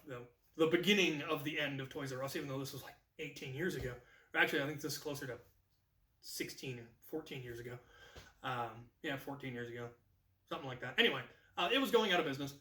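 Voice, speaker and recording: American man, thirties, higher-pitched bright voice, animated casual storyteller, echoey room. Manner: animated, acts out quoted lines. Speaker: video host and storyteller. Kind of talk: casual anecdotal storytelling to camera. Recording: echoey room, distant microphone.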